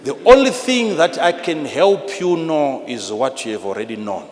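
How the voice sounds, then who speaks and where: A man with a Zimbabwean accent preaching a sermon in an emphatic, repetitive, teacherly manner, swinging between hushed and loud delivery. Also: on the high side, expressive, normal speed. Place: large church hall with PA. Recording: live in a large reverberant hall, noisy.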